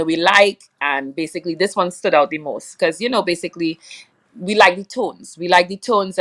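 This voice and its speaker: Low-voiced woman with a Trinidadian accent. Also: fairly bright tone. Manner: rambling, many fillers, laughs often. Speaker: soca singer, guest. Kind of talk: casual interview chat.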